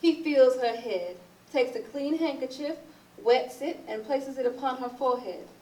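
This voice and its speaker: A woman's voice: sits high, moderate pace, darkish tone. Woman narrating, distant and echoey. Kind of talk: scripted narration of stage directions. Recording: echoey, distant, noisy stage recording.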